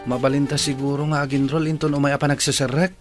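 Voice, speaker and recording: An actor playing a dutiful, reassuring young student son in a radio drama. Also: male voice, medium pitch, little pitch movement, fast, soft background music, lightly acted. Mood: earnest.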